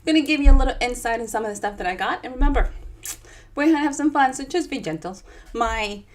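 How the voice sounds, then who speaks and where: A woman's voice: high voice, quick pace. A woman with a Dominican accent, an author chatting with viewers and then reading her own book aloud in a live session. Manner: chatty and animated, talking straight to viewers.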